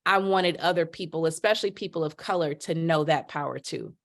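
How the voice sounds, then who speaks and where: A woman with a somewhat low voice tells her story in casual podcast talk. She is animated and expressive, leaping up in pitch whenever she acts out what others said. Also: brisk, creaky. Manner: animated, voices quotes with big pitch leaps. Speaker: podcast guest.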